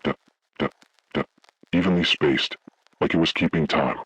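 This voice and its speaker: A man voicing a haunted, grave survivor, narrating a scripted first-person horror story in slow, deliberate, ominous phrases with a gravelly, husky voice. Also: extremely low pitch, a bit bright, no room sound, exaggerated voice, low-quality recording. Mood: grave dread. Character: haunted man recounting childhood motel horror, grave, dread-filled.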